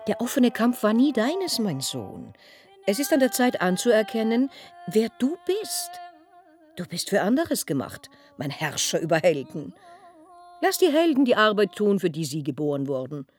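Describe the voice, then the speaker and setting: A woman playing an older, solemn and persuasive royal mother in a German radio drama, measured, imperious and ruthless. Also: mid-range voice, big pitch swings, soft background music, natural voice. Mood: firm consoling.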